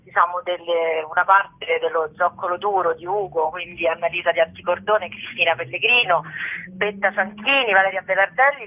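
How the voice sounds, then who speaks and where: A woman chatting casually by telephone as an actress interviewed on the radio. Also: on the low side. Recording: tinny, narrow telephone line.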